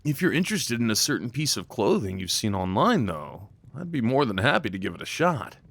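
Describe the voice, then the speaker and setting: A man's voice: medium pitch, sing-song, fairly quick, creaky, a bit bright, no room sound, heavily acted. A man playing an affectionate, playful boyfriend in an intimate ASMR roleplay, talking tenderly and rambling with light teasing. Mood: relaxed, rambling. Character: affectionate, playful, crafty boyfriend cuddling his partner.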